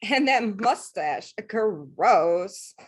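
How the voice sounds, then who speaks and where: A woman's voice: medium pitch, animated, drawn-out words, husky, heavily acted. A woman playing a blunt, mocking makeup artist in a comedy table read. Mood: disgusted.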